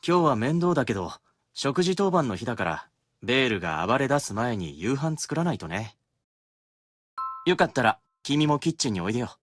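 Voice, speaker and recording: An actor playing a relaxed, affectionate young male demon in a game, speaking casually and intimately as if on a phone call. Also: mid-range voice, rapid-fire. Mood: casual, inviting. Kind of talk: Japanese game voice acting, intimate phone monologue.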